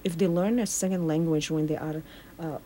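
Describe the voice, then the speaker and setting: Earnest woman, slightly low voice. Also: steady tone, brisk, mellow, slight background noise. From Morocco. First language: Arabic. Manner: earnest, explaining with repeated phrases. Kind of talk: casual radio chat.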